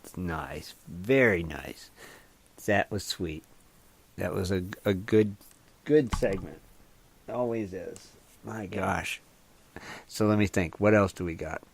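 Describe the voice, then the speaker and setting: Man, radio host, casual chat, agreeable, laughs a lot, slightly low voice. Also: lively intonation, slow, gravelly, pauses often, slightly rough, no room sound. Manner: upbeat, agreeable, laughs often.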